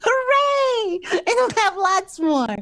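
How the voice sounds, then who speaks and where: Woman doing smug excitable romantic explorer guy, radio play comedy, high voice goes all over the place. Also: slow pace, exaggerated voice. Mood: exuberant. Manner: exuberant, theatrical, bickering.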